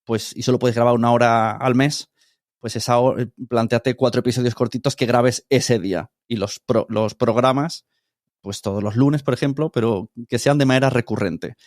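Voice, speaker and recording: A middle-aged man with a Spanish accent from Spain speaks in a casual interview style. His voice sits at an average pitch, in a dry, close acoustic. He explains things informally, as a podcast producer would to a colleague. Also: fairly even intonation, moderate pace, no room sound.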